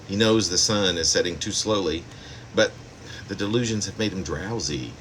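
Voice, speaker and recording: A man's voice: sits low, moderate pace, fairly bright tone, slight background noise. A man reading his own poem aloud as a poet, in a spoken poetry-reading style.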